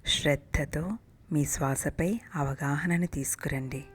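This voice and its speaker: Very low-voiced woman guiding meditation in soft breaths. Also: a little slow, slight background noise. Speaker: meditation guide. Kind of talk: guided meditation narration. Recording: music bed behind the voice. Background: meditation music.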